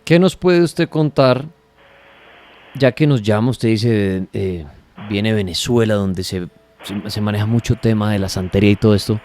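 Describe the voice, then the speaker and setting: A man's voice: lowish voice, moderate pace, darkish tone. A Colombian man hosting a live late-night radio call-in show about the paranormal. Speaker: radio host. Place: radio studio. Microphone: studio broadcast microphone. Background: faint music.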